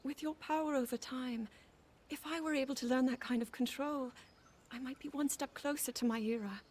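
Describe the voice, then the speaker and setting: An actress playing an earnest young princess in a game cutscene, speaking hesitantly. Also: female voice, sits high, fairly even intonation, moderate pace, a little mellow, a little hiss or hum, natural voice. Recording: game cutscene over music.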